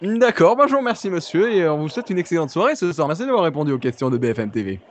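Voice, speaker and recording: An earnest breaking-news anchor, played by a man with a high-pitched voice. He reports as if live, insisting and repeating himself while stumbling over his words in a mock broadcast for a prank call. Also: very animated, racing, lo-fi sound. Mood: formal. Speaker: prank caller.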